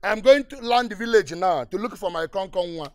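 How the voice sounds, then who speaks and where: A man's voice: high-pitched, fairly quick, clean tone, no room sound, studio quality, natural voice. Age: adult. Figure: a man playing a boastful rich village politician. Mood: blustering. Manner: blustering, boastful.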